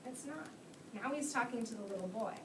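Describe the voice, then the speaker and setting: A woman with a high voice reads to a class of young children. She speaks as a teacher, instructing, praising and putting questions to them. The sound is echoey and distant, with clear noise in the room. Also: animated, a little fast. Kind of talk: reading aloud to a class, asking questions.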